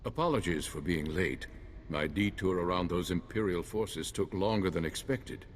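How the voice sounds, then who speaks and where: A man playing a calm, seasoned older warrior strategist in a video game, speaking in a measured, formal way. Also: low voice, slight background noise, lightly acted. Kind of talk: video game cutscene acting.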